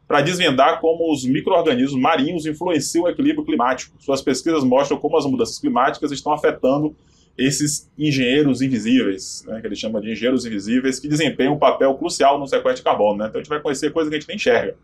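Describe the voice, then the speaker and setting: A man's voice: medium pitch, fast talker, breathy edge. A man hosting a podcast, introducing guests in a casual, conversational way. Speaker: podcast host.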